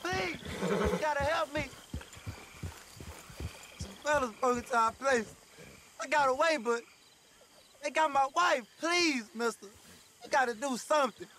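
A man's voice: very high-pitched, a little slow, long pauses, pushed and bright, heavily acted. An actor playing a frightened man in a western video game, pleading urgently for help. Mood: desperate pleading. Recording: clear outdoor night insect noise.

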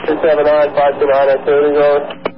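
Coast guard dude on the radio, by the book, says over a lot, heavy static. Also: male voice, fairly high pitch, completely flat delivery. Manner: measured, procedural, ends turns with "over". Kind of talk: radio dispatch procedure. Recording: muffled marine radio with loud static.

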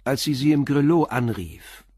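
A man plays a measured police inspector in a radio crime drama. He questions a suspect calmly and politely, his husky voice recorded close and dry at a middle pitch. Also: dry recording, lightly acted. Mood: probing. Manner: measured, probing, polite interrogation. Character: male police inspector, calm, probing questioner. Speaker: detective. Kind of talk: radio play acting.

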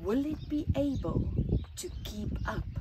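A middle-aged woman reading a children's picture book aloud outdoors, phrasing deliberately with dramatic emphasis and hushed words. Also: high-pitched, lively intonation, very noisy. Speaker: storytime reader. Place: outdoors at a flower farm. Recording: outdoor ambience with birdsong.

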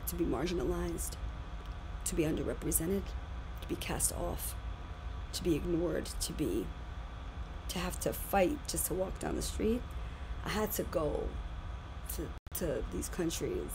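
A woman teacher in her thirties with a big voice, lecturing her class animatedly over a video call. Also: deep voice. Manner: animated, repeats and restarts phrases. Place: home room, indoors. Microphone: webcam on video call. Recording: noisy webcam audio.

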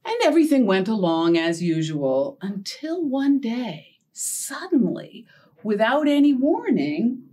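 A woman's voice: a little high, slow pace. A middle-aged American woman leading a children's storytime, speaking simply and encouragingly to young listeners as she teaches and tells stories. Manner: animated, encouraging, addresses young children with questions. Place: indoor storytime set with backdrop and felt board.

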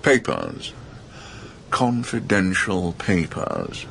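An actor playing a fussy, oblivious old-fashioned English ghost gentleman in a radio drama, with a British accent and polite chummy manner. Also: sits low, wildly swooping pitch, extremely slow, creaky, a little hiss or hum, exaggerated voice. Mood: genial, fussy. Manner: polite, dated upper-class chumminess.

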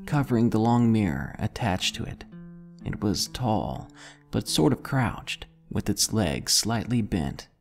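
A man's voice: on the low side, vocal fry, a little mellow. A man narrating a scripted first-person ghost story as a horror storyteller.

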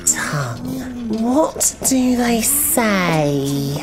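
A woman's voice: a little high, very animated, music in the background, full character voice. A woman playing a menacing hired torturer in a game, taunting and drawing out her words. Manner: drawn-out, taunting.

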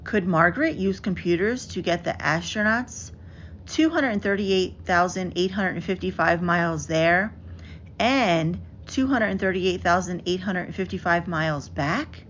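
A woman's voice: fairly low pitch, unhurried, fairly bright tone. Woman reading a picture book, dull noisy audio. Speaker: storybook reader. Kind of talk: scripted children's picture book read-aloud. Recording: dull lo-fi audio with clear background noise.

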